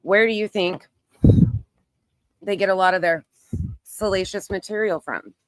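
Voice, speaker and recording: A woman's voice: mid-range voice, unhurried, no echo, poor audio. A woman hosting a casual live online commentary, emphatic and repetitive in phrasing, talking through what she does as she browses. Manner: narrates own actions while browsing. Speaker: YouTube creator, host.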